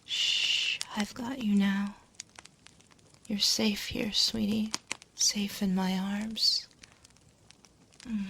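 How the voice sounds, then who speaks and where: A woman playing an ancient succubus demon queen, motherly and gently teasing, in a hushed, soothing, intimate ASMR roleplay, close to a binaural mic. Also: medium pitch. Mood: tender, soothing. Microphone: close binaural 3Dio microphone. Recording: close binaural mic, noticeable background noise.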